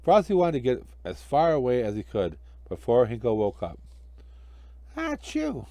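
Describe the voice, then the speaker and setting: Animated male storyteller with a mellow tone. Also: medium pitch, fairly quick, dry recording. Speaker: amateur storyteller. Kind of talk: expressive children's story narration.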